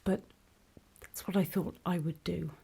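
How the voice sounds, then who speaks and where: A woman acts out a nervous female survivor of a zombie apocalypse in a horror ASMR roleplay. She speaks in a very breathy, husky whisper, slow and halting, with gravelly creak at the edges. She sounds apologetic and unsure of herself. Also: lowish voice, fairly even intonation, warm tone, full character voice. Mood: uneasy, earnest. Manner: hesitant, halting, self-conscious.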